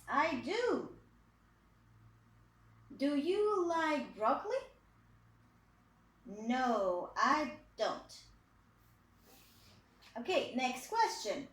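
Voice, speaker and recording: A woman in her twenties from Poland, teaching English to young children in a video lesson, repeating each phrase slowly for them to copy. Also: sits high, roomy sound. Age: 20s. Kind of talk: slow, clearly spoken video lesson for kids.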